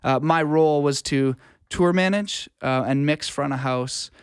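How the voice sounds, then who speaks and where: Smooth-voiced man chatting casually, close and dry. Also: a little high, measured pace, no room sound. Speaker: guest, touring live sound engineer and studio producer. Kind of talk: casual interview conversation, storytelling.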